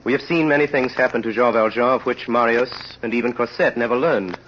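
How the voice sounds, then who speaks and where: An actor playing a grave, impassioned storyteller, narrating a tragedy solemnly and theatrically in an old radio drama. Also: male voice, mid-range voice, slight background noise, natural voice. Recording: muffled old radio recording.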